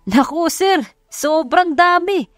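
A woman's voice: very high voice, lively intonation, slow, fairly bright tone, no echo, clean studio sound, soft background music, pitched above the performer's own voice, heavily acted. A woman voicing an impressed private investigator reporting emphatically to a client in an audio love story. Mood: amazed, reporting.

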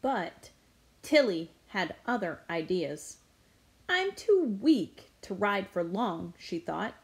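A middle-aged woman reading a picture book aloud to children in an expressive, deliberate storytelling way. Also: mid-range voice, echoey room. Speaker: children's read-aloud presenter.

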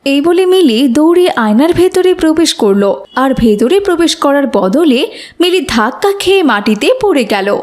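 A woman's voice: high-pitched, animated, moderate pace, a little mellow. A woman reading scripted narration for a children's cartoon story.

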